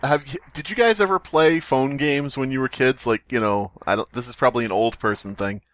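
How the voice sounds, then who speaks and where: A man's voice: mid-range voice, brisk, a bit bright, no echo. Joking male podcaster, dry close sound. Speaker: podcast co-host. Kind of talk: casual comedic banter and story reading.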